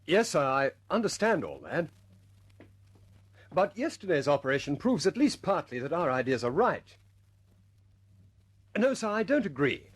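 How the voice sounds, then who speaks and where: An actor playing an earnest, good-humoured RAF squadron leader in a vintage radio drama. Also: male voice, a little high, sing-song, normal speed, long pauses. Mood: earnest, persuasive. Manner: hesitant stammers when pressing a point, otherwise commanding.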